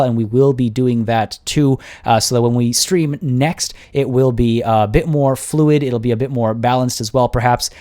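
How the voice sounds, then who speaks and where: Guy wrapping up his game stream, talking to chat, voice going kinda raspy, lots of restarts. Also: medium pitch, darkish tone. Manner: upbeat, chatty, grateful, many restarts. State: voice getting worn and hoarse. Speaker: livestream host and game caster. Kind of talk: casual livestream sign-off, reading chat aloud.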